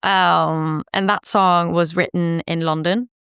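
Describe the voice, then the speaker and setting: A singer-songwriter woman from Norway, chatting casually in an interview, laughing easily and filling pauses with ums and likes. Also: on the low side, fairly even intonation, a bit bright, smooth, dry recording, studio quality. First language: Norwegian.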